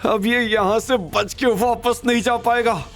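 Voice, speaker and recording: Guy doing whiny bully in an audio drama, high strained voice, aggrieved n blustering, wants payback. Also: steady tone, unhurried, fairly bright tone, soft background music, full character voice. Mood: pained, outraged. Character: whiny, vengeful bully humiliated after a beating.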